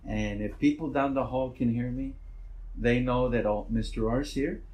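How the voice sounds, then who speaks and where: A middle-aged man with a naturally loud voice, a school principal answering interview questions in a relaxed, rambling way. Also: medium pitch, normal speed.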